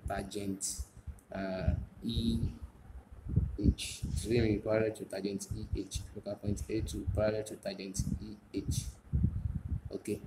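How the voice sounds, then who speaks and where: Low-voiced man teaching in an echoey room. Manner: repeats phrases, asks and answers own questions. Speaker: technical drawing teacher. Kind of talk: step-by-step instructional demonstration. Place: indoors at a drawing desk. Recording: echoey room, noisy, with clicks.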